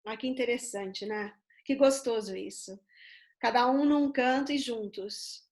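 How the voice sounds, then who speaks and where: High-voiced Brazilian woman hosting a video chat. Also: a little slow, darkish tone. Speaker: host. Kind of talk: casual video-call chat. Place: home in London. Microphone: video call.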